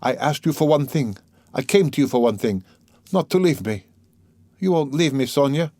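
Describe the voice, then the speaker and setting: A man voicing an anguished, bitter young murderer in a dramatic audiobook reading, agitated, brooding and halting as he confesses. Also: medium pitch, animated, dark tone, no room sound, pitched above the performer's own voice, lightly acted. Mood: bitter, urgent. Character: anguished, guilt-ridden young male murderer confessing, bitter and self-loathing.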